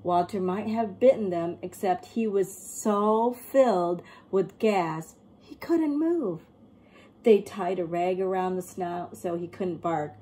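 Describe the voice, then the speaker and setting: A woman reading a picture book aloud as an expressive storyteller, acting out the tale and explaining as she goes. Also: fairly low pitch. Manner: acts out characters and pauses to explain words. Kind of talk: expressive children's read-aloud.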